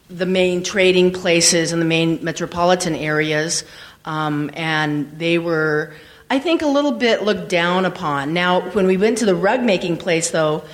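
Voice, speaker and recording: A woman sharing travel impressions in a casual group discussion, rambling and hesitant with many fillers. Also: on the low side. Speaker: trip panelist. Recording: reverberant hall.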